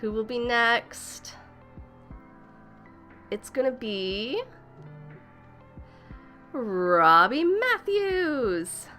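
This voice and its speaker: A woman hosting a relaxed livestream, her voice bright and a touch high. She is a little stuffy from a cold, chats cheerfully, and greets viewers by name. Soft music plays faintly underneath. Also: extremely slow, pauses often. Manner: chatty, upbeat, greets viewers by name and laughs often. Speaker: livestream host.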